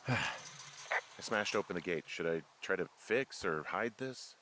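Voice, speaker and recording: An American actor playing a wry, uneasy fire lookout in a video game, talking casually with some swearing. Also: male voice, sits low, expressive, measured pace, heavy background noise. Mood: tense. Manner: casual, profane, conversational. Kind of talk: naturalistic video game dialogue.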